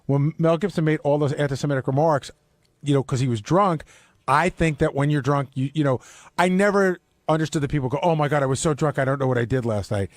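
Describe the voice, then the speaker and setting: A man's voice: on the high side, fast, no echo. A man co-hosting a radio show in casual, opinionated talk.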